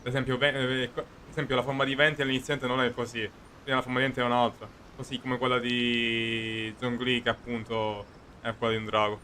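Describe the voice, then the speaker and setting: A man giving casual, rambling game commentary, halting and correcting himself as he goes. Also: mid-range voice, level tone, pushed and bright, a little hiss or hum. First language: Italian. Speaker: game streamer. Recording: game music clearly behind voice.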